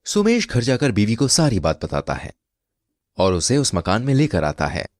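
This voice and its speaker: A man narrating a scripted comic moral tale as an expressive storyteller. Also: on the low side, normal speed, no room sound.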